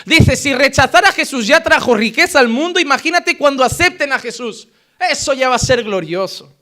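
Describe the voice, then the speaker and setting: A man with a Spain Spanish accent delivers an impassioned sermon in a notably high voice. He presses his points with loud emphasis, then sinks into quiet, earnest passages. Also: animated, normal speed. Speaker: preacher.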